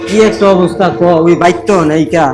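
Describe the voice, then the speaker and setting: Music plays clearly behind a man acting an earnest young man in a short drama. His voice is high for a man, with a Bangladeshi Bengali accent. He talks in a colloquial, anxious and urgent way. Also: steady tone, brisk, lightly acted. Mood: worried. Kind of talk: amateur short drama dialogue. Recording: background music over dialogue.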